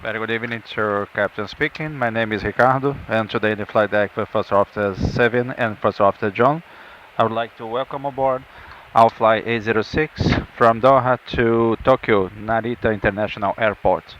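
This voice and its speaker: A man's voice: lowish voice, fairly even intonation, normal speed, a bit bright, slight background noise. A man speaking as the airline captain gives a formal, courteous announcement from the flight deck over the PA. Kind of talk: pilot welcome announcement. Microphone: flight deck PA heard through cabin speakers. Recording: muffled aircraft PA.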